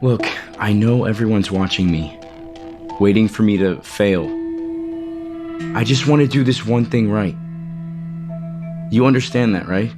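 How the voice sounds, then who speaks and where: An actor playing a defensive, insecure company chief in an audio soap opera, curt and emphatic. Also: male voice, fairly low pitch, measured pace, long pauses, natural voice. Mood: determined, pleading. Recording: music bed under the dialogue.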